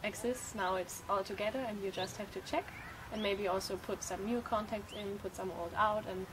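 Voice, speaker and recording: A woman's voice: medium pitch, steady tone, normal speed. An eighteen-year-old woman from Germany doing civic service, talking eagerly and searching for words. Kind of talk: conference interview answers. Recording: loud background noise.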